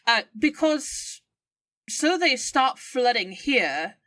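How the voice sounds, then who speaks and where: A woman's voice: high-pitched, big pitch swings, slow, fairly bright tone, dry recording. A woman talking casually as a player in a tabletop game.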